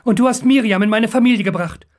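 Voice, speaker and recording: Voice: medium pitch, normal speed, natural voice. A narrator voicing a terrified captive man in an audiobook, begging urgently and crying for help. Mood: pleading.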